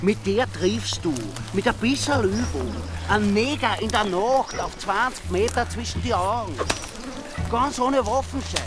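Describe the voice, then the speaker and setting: A man's voice: high-pitched, expressive, measured pace, music in the background. A man with an Austrian accent acting a cranky, self-assured survivalist ranger in a radio drama, lecturing colloquially. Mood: preachy, self-assured.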